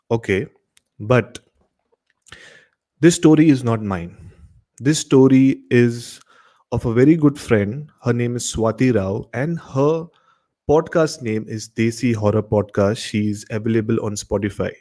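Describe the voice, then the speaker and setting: Mellow-voiced Indian man hosting. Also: medium pitch. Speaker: horror story show host. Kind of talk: casual show hosting addressed to listeners.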